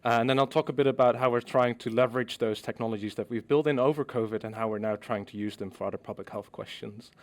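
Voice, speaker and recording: A middle-aged man from the Netherlands giving a scientific conference presentation, measured and explanatory with frequent ums. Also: medium pitch, steady tone.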